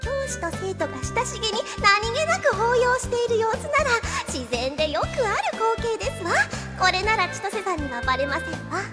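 A woman's voice: extremely high pitch, expressive, brisk, hardly any pauses, loud music behind the voice. An actress playing a shy, scheming girl with quirky, overly polite prim speech in an anime audio drama. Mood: flustered, scheming. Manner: prim, redundant polite phrasing ending in desu wa.

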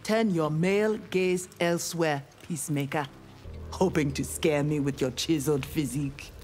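A woman's voice: sits low, moderate pace, a little mellow, faint music behind, lightly acted. A woman with a Nigerian accent voicing a proud, righteous young ninja warrior in a fighting game, formal and defiant. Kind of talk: video game fight dialogue.

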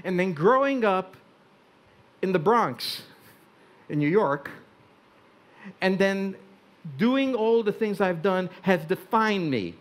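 An older Hungarian-born man giving a wry, deliberate talk on a stage in a large tent before an audience. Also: high voice, lively intonation. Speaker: speaker.

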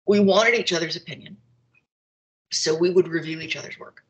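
A woman's voice: lowish voice, moderate pace, muffled recording. A middle-aged woman telling candid personal stories conversationally as a guest on a video call. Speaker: guest speaker. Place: open-plan kitchen and living room at home. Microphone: video call, computer microphone.

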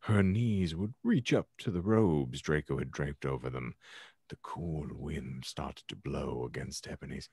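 A man's voice: very deep voice, unhurried, darkish tone, husky, no echo. A man reading fan fiction dramatically as a podcast host, in a theatrical manner, close to the microphone at home. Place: home room, on a video call.

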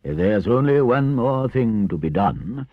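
A stern, righteous older badger as a male narrator plays him, orating slowly and emphatically with commanding weight. The storybook voice is raised above the reader's own, dry and slightly dull in the recording. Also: fairly low pitch, very animated, husky, no room sound, voice pushed up, heavily acted. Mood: solemn, satisfied. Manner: solemn, slow, emphatic oratory.

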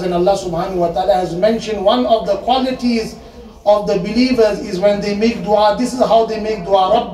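A man's voice: high-pitched, a little mellow, far from the mic. A man in his thirties giving an earnest sermon at a memorial gathering, slipping Urdu and Arabic phrases into his English and repeating words to stress them. He talks seated at a panel table, holding a handheld mic. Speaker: religious speaker. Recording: echoey hall, mic held away.

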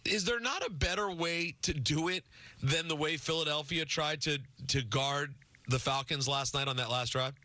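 A man's voice: a little high, normal speed, bright, forceful tone, no echo. A man co-hosting a casual sports talk show and chatting with a guest. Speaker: sports radio co-host.

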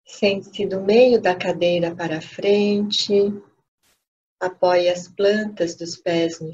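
A woman with a Brazilian accent leading a guided meditation, gently and unhurriedly, pausing between short phrases. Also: on the low side. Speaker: meditation teacher. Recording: dull lo-fi audio with steady noise.

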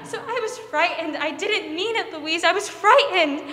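A woman's voice: very high-pitched, normal speed, bright, forceful tone. A young woman playing a disturbed, sinister older aunt in a theatrical, intense 1940s radio drama style. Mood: frantic, confessing. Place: stage of a school theater. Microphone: microphone on a stand. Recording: echoing hall with long reverb.

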